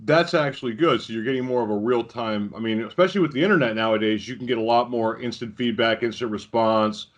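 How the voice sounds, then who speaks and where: A man's voice: mid-range voice, fast, few pauses, dull audio. A man interviewing casually as a podcast host, reacting in a conversational way.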